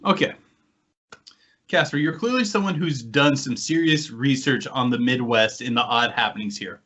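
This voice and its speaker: A man's voice: mid-range voice, moderate pace, fairly bright tone. A man co-hosting a podcast, chatting casually and putting questions to a guest. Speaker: podcast co-host. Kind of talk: casual chat and interviewing. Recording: dull lo-fi audio.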